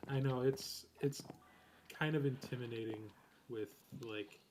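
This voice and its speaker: A man's voice: mid-range voice, steady tone, a little slow, a little mellow. A man chatting casually with friends while playing a building game, rambling with plenty of fillers. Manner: rambling, many fillers and restarts. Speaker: player on a game server. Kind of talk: casual chat while gaming. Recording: background game music clearly heard.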